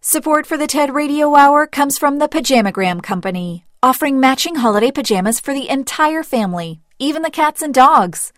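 A woman's voice: sits high, lively intonation, a little fast, few pauses, no echo, clean studio sound. A woman with an American accent reading scripted sponsorship announcements.

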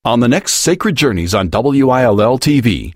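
A man's voice: mid-range voice, big pitch swings, moderate pace, no echo, clean studio sound. A man with an American accent reading a scripted promo as a station announcer.

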